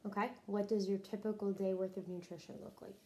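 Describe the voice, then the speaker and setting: A woman's voice: mid-range voice, level tone, a little fast, mellow. A woman interviewing someone for a health history, working methodically through prepared questions and briefly acknowledging answers. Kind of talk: scripted clinical questionnaire interview.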